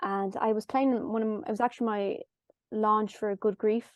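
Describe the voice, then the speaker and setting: Woman, Irish, Galway accent, nasal, high-pitched, chatty storyteller, dry close sound. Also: fairly even intonation, normal speed, no echo. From Ireland. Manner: chatty storytelling, acts out her own past lines. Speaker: musician guest. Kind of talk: casual chat.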